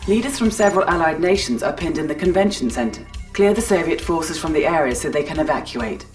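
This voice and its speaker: A cool command announcer for a war game. Her low voice is formal, exact and impersonal. It sits slightly back from the mic with music clearly underneath. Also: expressive, fast talker, recorded from a distance. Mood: formal briefing. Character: cool, efficient female battlefield command announcer. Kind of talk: video game mission announcements.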